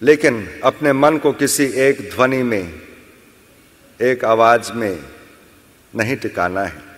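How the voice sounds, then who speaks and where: A man giving guided yoga nidra meditation instructions in short, measured phrases with deliberate pauses. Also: medium pitch, fairly bright tone. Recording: long hall-like reverb.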